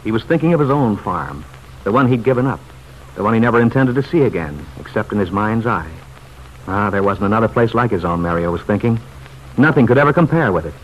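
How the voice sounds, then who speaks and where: A man's voice: fairly low pitch, expressive, brisk, dull audio. A man narrating a vintage radio drama in a scripted, dramatic storytelling style. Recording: faintly noisy old radio recording.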